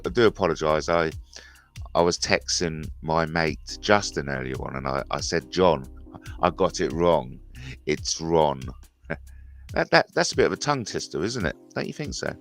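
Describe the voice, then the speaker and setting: A man in his fifties hosting a rambling, jokey livestream chat, repeating himself and mentioning his speech impediment. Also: low voice. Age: 50s. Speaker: livestream host.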